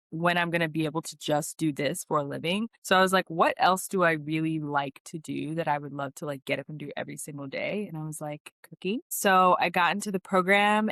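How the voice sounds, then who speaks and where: A woman's voice: low voice, a bit bright, no echo, studio quality. A young American woman from New York chatting casually as a podcast guest, informal and conversational with lots of "like". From New York, United States. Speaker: interview guest, actress.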